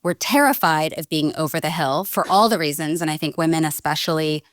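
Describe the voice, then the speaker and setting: A woman's voice: deep voice, fairly quick. A woman in her forties, a guest leadership coach, speaking reflectively in a casual interview. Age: 40s.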